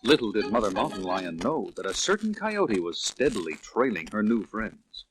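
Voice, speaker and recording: A man's voice: fairly low pitch, big pitch swings, normal speed, very noisy. A man narrating a children's read-along story in a scripted storytelling style.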